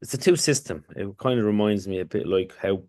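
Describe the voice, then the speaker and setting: Low-voiced man, rambling. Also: brisk, darkish tone. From Kurdistan, Iraq. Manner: rambling, with many restarts and "you know". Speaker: podcast guest. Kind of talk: casual conversational interview.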